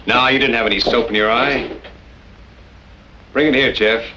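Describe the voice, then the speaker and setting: A man's voice: medium pitch, fairly even intonation, normal speed, pushed and bright, natural voice. An actor playing a terse, suspicious man of the West who gives orders dryly in a radio western. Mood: exasperated. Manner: terse, commanding, dry. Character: stern, suspicious western cattleman taking charge. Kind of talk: old time radio western acting. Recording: muffled, noisy old radio recording.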